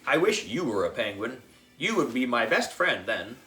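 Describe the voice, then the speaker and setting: A man voicing a cheeky, sulky third-grade boy in a children's audiobook, blunt, childish and expressive. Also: medium pitch, normal speed, roomy sound, faint music behind, rough recording. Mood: wistful.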